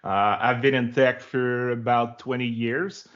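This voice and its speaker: A man's voice: medium pitch, steady tone, unhurried, a bit bright, lo-fi sound. A man in his forties from Quebec, a native French speaker with a Quebec French accent, giving chatty, informal interview answers. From Quebec, Canada. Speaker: interview guest, developer relations director.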